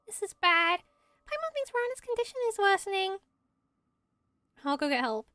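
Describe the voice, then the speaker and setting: A woman voicing a small, excitable fairy companion from a game, childlike and exclamatory. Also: very high-pitched, moderate pace, no echo, voice pushed up, full character voice. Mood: urgent. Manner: speaks of self in third person, exclamatory. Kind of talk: fan voice-over of game dialogue.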